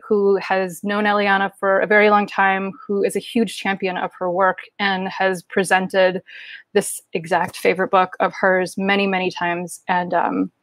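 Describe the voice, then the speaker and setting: A middle-aged woman speaks as the host of an online show. Her voice sits at a medium pitch and she presents in a casual way. The sound is dry, as on a video call from home. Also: no echo. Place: home room indoors, kitchen shelves behind. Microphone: video call, wearing headphones.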